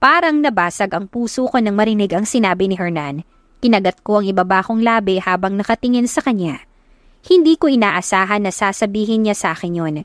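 A woman narrates a first-person love story as a scripted audiobook. Her voice sits at a medium pitch, recorded close and dry with no room sound. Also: expressive, brisk, no echo.

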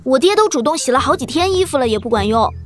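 A woman's voice: high voice, expressive, quick pace. A woman playing a cheeky, chatty young girl in a Chinese martial-arts audio drama, pouty and gossipy.

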